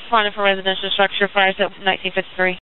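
A woman's voice: mid-range voice, completely flat delivery, racing. A woman working as an emergency dispatcher, speaking in clipped, polite procedural phrases over two-way radio. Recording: tinny radio link, faint noise.

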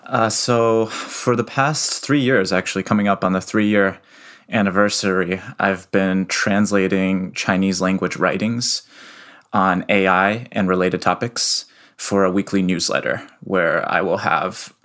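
Young guy from the us, low voice, explains stuff slow and careful, talking from home. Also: a bit bright. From United States. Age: young adult. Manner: measured, explanatory, with some fillers. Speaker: guest, researcher. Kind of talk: remote interview answers.

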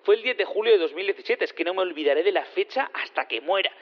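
An actor in an audio drama playing an eager space physicist on the witness stand, rambling, hesitant and repeating himself. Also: male voice, high voice, expressive, quick pace. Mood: proud. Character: rambling, eager male space physicist who teleports things. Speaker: witness in a courtroom. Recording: dull lo-fi sound in long reverb.

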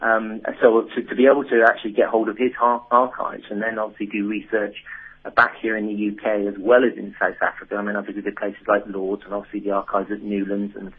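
A man's voice: fairly low pitch, little pitch movement, fast talker, slightly rough. Enthusiastic Englishman on a tinny phone line. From England. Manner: enthusiastic, talkative, frequent restarts. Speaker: author. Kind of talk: phone interview. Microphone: telephone.

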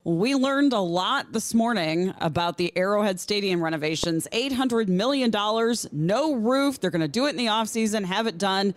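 A woman's voice: mid-range voice, animated, normal speed, hardly any pauses. A woman with an American accent hosting a radio talk show in a chatty style.

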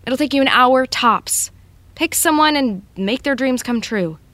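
A woman playing a bossy, no-nonsense colleague in a naturalistic podcast drama, brisk and sardonic. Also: high-pitched, expressive, a bit bright. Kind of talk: naturalistic fiction podcast acting.

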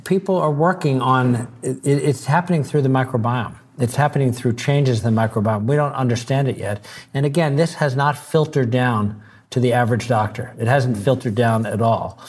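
A male doctor being interviewed, talking earnestly about his field. His voice sits at a middle pitch for a man, and he often repeats a word several times before a sentence gets going. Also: normal speed, darkish tone, husky. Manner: earnest, stammers on repeated words. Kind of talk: conversational interview.

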